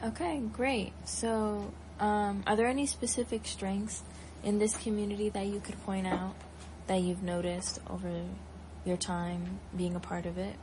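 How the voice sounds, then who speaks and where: A woman's voice: medium pitch, little pitch movement, measured pace, background noise. A young adult woman working as a student interviewer, reading out prepared questions and introductions.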